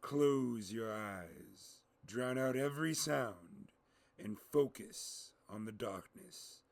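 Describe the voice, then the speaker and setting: A wise male warrior mentor, as a man puts him on for an audio story. He speaks low, soft and very slowly, with a gravelly edge. The sound is dry and close. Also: animated, no room sound. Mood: solemn. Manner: soft, slow and solemn.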